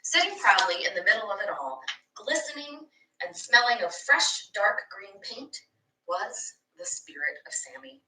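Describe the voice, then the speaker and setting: A woman reading a children's book aloud like a teacher, expressive and animated, with asides to young listeners. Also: mid-range voice, a little slow. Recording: echoey room, distant microphone.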